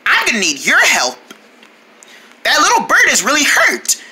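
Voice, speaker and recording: A woman's voice: high-pitched, wildly swooping pitch, normal speed, very noisy. A woman voicing a caring, helpful young creature in a preschool children's show, reassuring with simple childlike phrasing.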